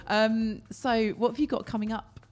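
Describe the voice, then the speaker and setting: A woman's voice: a little high, flat delivery, moderate pace, soft background music. A woman with an English accent hosting a radio show in casual, friendly, enthusiastic chat. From England. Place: radio studio.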